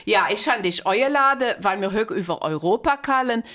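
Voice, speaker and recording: A woman's voice: mid-range voice, expressive, a little fast, a bit bright. A woman from German-speaking Belgium hosting a dialect radio interview, with an East Belgian German dialect and a chatty way of prompting her guest with short questions. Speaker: radio host.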